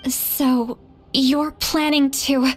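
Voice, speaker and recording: A woman's voice: sits high, level tone, extremely slow. A woman voicing an earnest, caring young heroine in an anime game dub. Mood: concerned.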